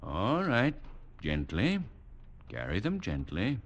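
A man's voice: fairly low pitch, huge pitch swings, exaggerated voice. A man acting in a 1940s radio drama as a courteous, coolly superior leader of a hidden people, speaking in formal, condescending phrases. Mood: soothing, apologetic. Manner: formal, polite, condescending, measured.

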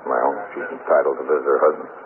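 An actor playing a blunt, hard-boiled police lieutenant in a 1950s radio drama. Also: male voice, very deep voice, flat delivery, quick pace, vocal fry. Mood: brisk. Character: brisk, tough male police lieutenant. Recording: scratchy, narrow-band 1950s radio recording.

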